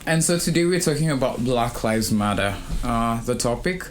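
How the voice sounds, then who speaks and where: A young man from Kenya co-hosting a student podcast, speaking thoughtfully with frequent pauses and fillers. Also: mid-range voice, lively intonation, a little hiss or hum. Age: young adult. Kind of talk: casual conversation.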